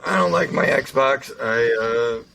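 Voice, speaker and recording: A young man with a slightly raspy voice co-hosts a casual podcast, talking his way through stories with frequent fillers and restarts. His pitch sits in an ordinary middle range. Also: noisy background. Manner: halting, lots of fillers and restarts. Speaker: podcast co-host. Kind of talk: casual chat, reading news articles.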